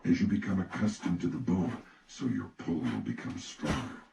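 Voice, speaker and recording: A man narrates an audiobook in a measured, instructive way. His voice is extremely deep with a gravelly creak. The recording sounds distant from the mic, with noise behind it. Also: animated. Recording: echoey, distant, noisy recording.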